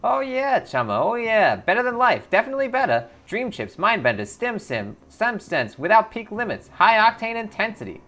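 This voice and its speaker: A twitchy orc hustler from a cyberpunk game, acted out by a man, pitched well above a normal male voice. He is loud and jittery, repeating himself in slangy sales patter, with music playing underneath. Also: big pitch swings, moderate pace, few pauses, full character voice. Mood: manic, eager.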